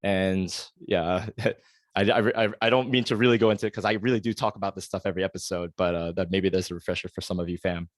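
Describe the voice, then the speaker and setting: A man's voice: low-pitched, steady tone, fast talker, dry recording, clean studio sound. A man in his thirties from the United States, hosting a solo podcast and talking earnestly and confessionally, with lots of fillers and restarts. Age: 30s. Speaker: podcast host. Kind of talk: solo reflective podcast monologue.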